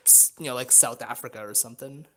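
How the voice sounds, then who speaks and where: Young male co-host, medium-pitched, blunt slangy delivery, casual banter. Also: steady tone, quick pace, bright, forceful tone. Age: young adult. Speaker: podcast co-host. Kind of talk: casual chat.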